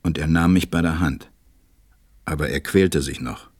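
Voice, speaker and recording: Pensive narrator, deep, very husky, tender, grave, deliberate, literary radio play. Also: male voice, a little mellow. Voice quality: low, husky, breathy. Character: pensive, tender adult male narrator befriending a small boy.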